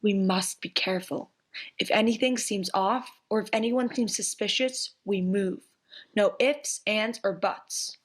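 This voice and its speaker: A woman's voice: medium pitch, measured pace, lightly acted. A woman playing a worried but determined young mother in a wartime stage drama. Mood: firm, planning. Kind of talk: theatrical storytelling monologue and dialogue. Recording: roomy home recording with music underneath.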